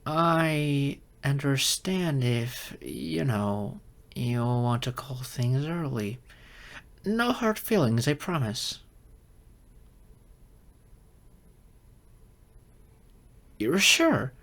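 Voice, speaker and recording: Guy playing nerdy insecure young fox spirit, asmr roleplay, slow and halting, really expressive swings, flustered but earnest. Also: mid-range voice, vocal fry, full character voice. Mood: nervous, polite. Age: young adult. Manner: flustered, hesitant, earnest, slightly formal. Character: geeky, insecure young silver fox kitsune on a blind date.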